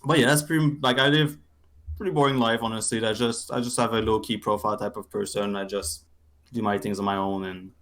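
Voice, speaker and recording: Slightly low-voiced young man, casual streamer. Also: fairly even intonation, fairly quick, a bit bright. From Quebec City, Canada. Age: young adult. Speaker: talk show guest, gaming streamer. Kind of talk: casual chat.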